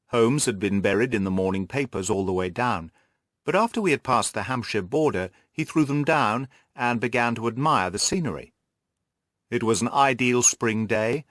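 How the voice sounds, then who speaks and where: A man reading literary narration as an audiobook narrator, measured and articulate. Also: mid-range voice, fairly bright tone, no room sound, studio quality.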